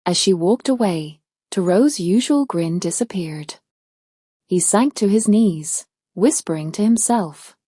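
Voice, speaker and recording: Woman, storybook narrator, mellow dark tone, breathy, lowish pitch, scripted delivery. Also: lively intonation, measured pace, no echo, studio quality.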